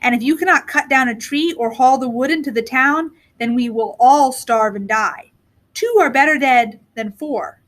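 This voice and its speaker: A high female voice for a storybook wife, acted out by a woman reader. She is bitter and practical, pressing her point in a matter-of-fact, insistent way. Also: normal speed, fairly bright tone. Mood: cold, logical. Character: bitter, coldly practical young mother, sharp-tongued wife. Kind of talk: storybook character reading.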